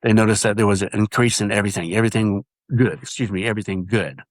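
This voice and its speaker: A man's voice: lowish voice, dry recording. A man, earnestly sharing his testimony in an interview and often restarting his sentences. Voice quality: nasal voice. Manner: earnest, halting with repeated restarts. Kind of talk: casual interview talk.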